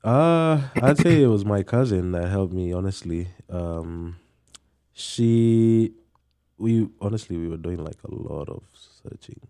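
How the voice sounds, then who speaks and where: A young man, a DJ, talking in an easygoing, measured way on a podcast. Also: low voice, animated, dark tone, dry recording, clean studio sound. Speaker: podcast guest, DJ. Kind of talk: casual podcast chat.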